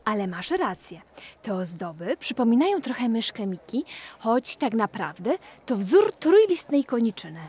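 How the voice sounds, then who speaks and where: A woman playing a sensible, knowledgeable big sister in a children's audio play, gentle and explanatory. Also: fairly high pitch, big pitch swings, moderate pace, husky. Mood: explaining.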